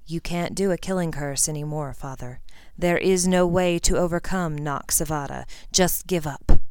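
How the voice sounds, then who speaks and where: A woman's voice: low voice, moderate pace, darkish tone, smooth, dry recording. A woman voicing an earnest, urgent teenage boy wizard in a fantasy story, speaking firmly. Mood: firm defiance.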